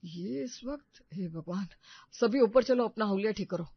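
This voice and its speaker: A man voicing an excitable member of a family household in a story cartoon. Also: high-pitched, moderate pace, husky, dry recording, lo-fi sound. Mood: panicked. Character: excitable men of a family household and their visitor. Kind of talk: Hindi moral-story cartoon dialogue.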